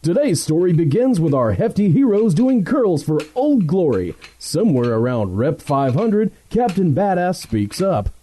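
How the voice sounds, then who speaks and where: A man's voice: a little high, big pitch swings, a little slow, few pauses, dark tone. A man playing a grandiose, melodramatic announcer who narrates a parody old-time radio adventure serial. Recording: music behind the voice.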